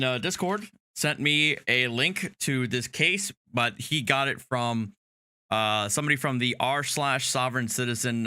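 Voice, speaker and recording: A man hosting a casual livestream, talking haltingly and wandering into asides. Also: on the low side, bright, forceful tone, clean tone, dry recording. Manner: halting, hesitant delivery with trailing asides. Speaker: livestream host.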